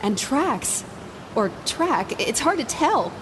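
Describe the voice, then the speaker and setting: An actress playing a brisk, commanding submarine captain in an audio drama. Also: female voice, on the high side, very animated, background noise. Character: brisk young female sub captain. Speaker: lead character, submarine captain.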